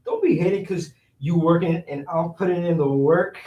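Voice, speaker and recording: A cocky young city guy played by a male actor talks in swaggering slang and likes to tease. His voice is high, and it comes across echoey and away from the mic, as at a staged reading. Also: moderate pace, dark tone, lo-fi sound, close to an everyday voice. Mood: cocky, playful. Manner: swaggering, slangy street talk. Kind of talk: staged reading of a one-act play. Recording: roomy echo, voice far from mic.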